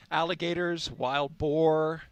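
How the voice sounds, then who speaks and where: A man's voice: on the high side, clear, resonant tone, dry recording. A man giving live launch commentary in a chatty, enthusiastic way, with frequent fillers.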